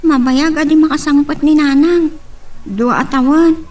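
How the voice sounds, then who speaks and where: Woman acting an earnest, high-voiced Ilocano daughter. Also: fairly even intonation, measured pace, a little mellow, far from the mic, faint music behind. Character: earnest, dutiful student daughter and young woman. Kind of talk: amateur Ilocano audio drama acting.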